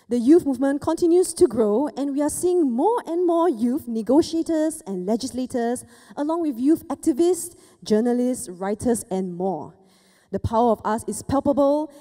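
A young woman stands on a large stage with a handheld mic and reads a prepared speech in a measured, formal way. Her voice sits a little high and has a mellow tone. Long hall reverb trails every phrase, with light music behind. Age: young adult. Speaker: youth speaker at an event. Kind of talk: scripted speech read from notes.

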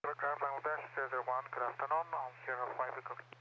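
A man's voice: mid-range voice, flat delivery, very fast. A man speaking as an airline pilot, making short calls to air traffic control by radio. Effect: radio transmission. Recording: dull, very noisy cockpit radio.

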